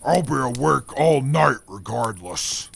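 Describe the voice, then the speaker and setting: Brief: gravelly, slow-spoken navigator voiced by a man, formal and methodical. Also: medium pitch, expressive, heavily acted. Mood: calm. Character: calm, precise male navigator who never sleeps. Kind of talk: audio drama acting.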